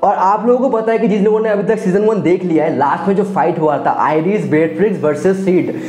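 Young indian guy doing excited casual youtube anime talk, soft mellow voice, echoey room, faint music behind. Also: high-pitched, fairly quick. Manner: enthusiastic, repeats phrases for emphasis. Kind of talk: casual video commentary addressed to friends. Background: faint background music.

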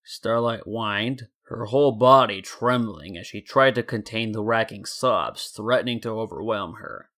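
A man's voice: on the low side, measured pace, hardly any pauses, fairly bright tone. A man narrating a scripted story in a dramatic reading style. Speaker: narrator and fan fiction reader.

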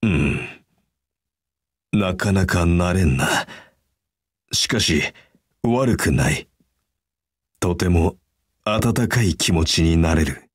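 A man voicing a calm, earnest, kindly masked hero in a mobile game, speaking in a measured, reserved, formal way. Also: low voice, husky, dry recording, clean studio sound, exaggerated voice. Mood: warm, thoughtful. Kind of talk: anime game character voice acting.

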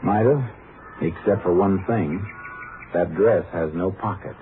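An actor playing a calm, probing Texas Ranger in a terse, matter-of-fact radio drama style. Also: male voice, low voice, unhurried. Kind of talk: 1950s radio drama acting. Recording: noisy, narrow-band 1950 radio recording.

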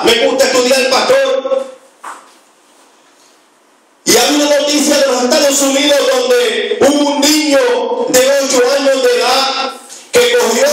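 A man's voice: very high voice, background noise. A man in his thirties preaching an emphatic sermon as an evangelist, repeating phrases for effect, speaking into a handheld microphone in a small church. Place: small church with hard walls, indoors. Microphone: handheld mic through PA, recorded by phone from afar. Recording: very echoey hall, distant phone recording, music.

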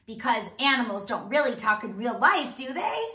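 A woman in her thirties doing an animated read-aloud for young children, enunciating with exaggeration and asking them questions as a teacher would. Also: on the high side, normal speed, roomy sound. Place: small indoor room.

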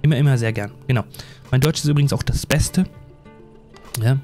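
A man's voice: medium pitch, brisk, mellow, soft background music. A man doing casual, rambling let's play commentary in a self-deprecating, chatty way. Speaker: gaming YouTuber.

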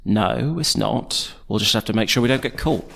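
An actor playing a gruff, blunt private detective in an audio drama, terse and guarded. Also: male voice, fairly low pitch, moderate pace, a bit bright, heavily acted. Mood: terse, dry. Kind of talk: full-cast audio drama acting.

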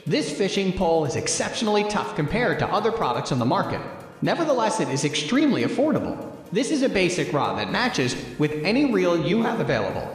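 A man reading a scripted product review voice-over in an even, promotional way. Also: high-pitched. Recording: heavy echo, long reverb.

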